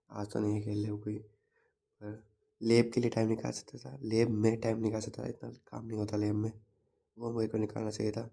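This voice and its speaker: A young man with a slightly sore throat, talking through his day as a student in an unhurried, reflective vlog. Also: lowish voice, vocal fry, mellow. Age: young adult. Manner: unhurried, reflective, mixes English words into the talk. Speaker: engineering student vlogger. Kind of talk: casual daily vlog recap.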